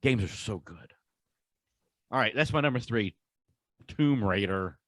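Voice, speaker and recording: A man's voice: fairly low pitch, animated, a little slow, no echo, clean studio sound. A man co-hosting a podcast, chatting casually in an emphatic, gushing and informal way. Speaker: podcast co-host. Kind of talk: casual enthusiastic podcast chat.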